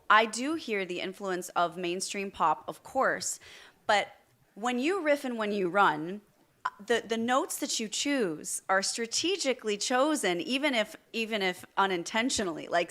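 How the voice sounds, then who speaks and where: A woman's voice: mid-range voice, fairly bright tone. A woman vocal coach leads the interview. She asks questions eagerly and lays out her analysis of the music at length. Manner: enthusiastic, analytical explaining.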